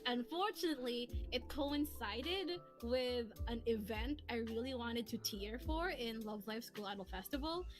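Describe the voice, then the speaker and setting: A woman's voice: fairly high pitch, lively intonation, measured pace, hardly any pauses. A woman chatting casually and giggling often as she answers questions on a livestream, as one of the hosts. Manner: giggly, laughs often while talking. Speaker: streamer, co-host answering chat questions. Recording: music playing behind the voice. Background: background music.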